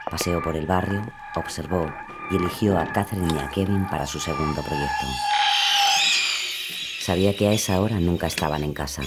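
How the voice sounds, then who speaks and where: A man reading scripted true-crime narration in a grave, measured way. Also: low-pitched, very noisy.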